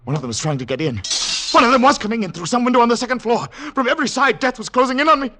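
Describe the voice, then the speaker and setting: An actor playing a haunted secret agent on the run, narrating in dramatic, deliberate, clipped phrases in 1940s radio thriller style. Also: male voice, very high voice, animated, loud music behind the voice. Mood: frantic. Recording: noisy 1940s radio recording with clicks.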